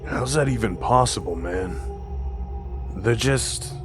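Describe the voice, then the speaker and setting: An agitated, bewildered logger acted out by a male narrator, a man struggling to believe what is in front of him in a horror audio drama. His voice sits at a middling male pitch, with music underneath. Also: slow. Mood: shaken disbelief. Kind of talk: audio horror story dialogue.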